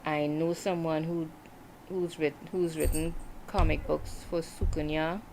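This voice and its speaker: A woman in her forties talking casually on a livestream, reflective and hesitant, with many pauses and fillers. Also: sits low, flat delivery, darkish tone, slight background noise, rough recording. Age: 40s. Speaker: writer hosting a livestream.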